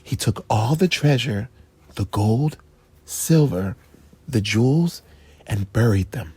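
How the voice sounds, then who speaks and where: A storyteller voicing a calm father who explains the family history to his son. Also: mid-range voice, breathy edge, no room sound, exaggerated voice. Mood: soft. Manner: soft, measured storytelling. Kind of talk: children's storybook read-aloud.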